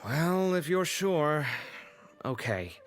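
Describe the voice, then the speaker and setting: A man's voice: fairly high pitch, big pitch swings, slow pace, soft background music. A man voicing a concerned, caring young man in a video game, speaking hesitantly. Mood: worried.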